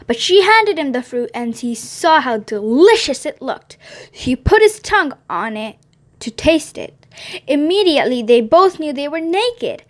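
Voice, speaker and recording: A woman reading a Bible story aloud for children on her channel, gently, with small slips and restarts. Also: high-pitched, big pitch swings, measured pace.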